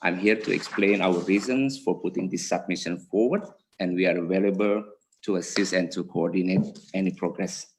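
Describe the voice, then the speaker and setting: A man's voice: low-pitched, moderate pace, a little hiss or hum. A man from Indonesia with an Indonesian accent, giving a formal submission to a parliamentary committee and reading prepared remarks with small slips. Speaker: community association vice president.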